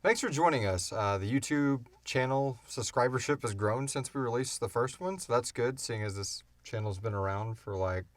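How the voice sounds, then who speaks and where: A man's voice: mid-range voice, normal speed, few pauses, dry recording. A man hosting a casual unscripted podcast Q and A, talking informally and swearing freely. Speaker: podcast host and producer.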